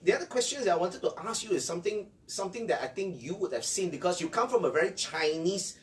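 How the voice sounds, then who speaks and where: A man's voice: sits high, expressive, quick pace. A man hosting a conversational interview and putting questions to a guest. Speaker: interviewer, show host. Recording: distant mic with faint background noise.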